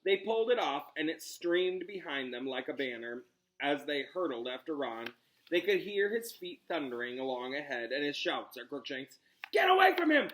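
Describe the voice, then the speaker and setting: A man's voice: medium pitch, animated, a bit bright, slight background noise, low-quality recording. Man reading a story aloud dramatically. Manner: dramatic, with pauses on key words. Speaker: teacher reading aloud to students. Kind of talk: expressive storybook read-aloud.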